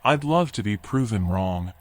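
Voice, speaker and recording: An actor playing a washed-up animal drifter in a noir video game, folksy, rambling and resigned. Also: male voice, fairly low pitch, lively intonation, measured pace. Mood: wry, resigned. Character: washed-up male drifter animal, philosophical, wry, weary. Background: music.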